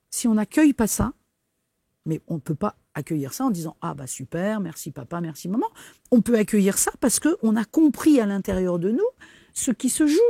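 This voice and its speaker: A woman's voice: mid-range voice, a little mellow, no room sound. A woman from the Paris region with an accent from France, explaining as an interview guest, searching for her words and repeating phrases. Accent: French from France. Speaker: interview guest, cellular memory practitioner.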